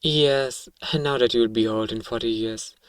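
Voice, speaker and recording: A performer voicing an alien researcher in an ASMR roleplay, speaking in formal, measured scientific phrasing with a coaxing, baffled manner. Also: medium pitch, no room sound, recorded from a distance, natural voice. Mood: puzzled, clinical. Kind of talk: ASMR audio roleplay monologue.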